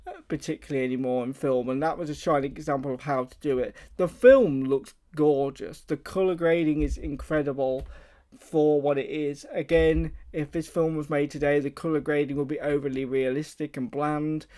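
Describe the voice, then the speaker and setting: Guy hosting a radio show, fairly high voice, chatty and keen, lots of likes and ums. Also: fairly even intonation, moderate pace, warm tone. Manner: chatty, enthusiastic, uses like and um. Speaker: radio host and film reviewer. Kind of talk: casual film review and show links.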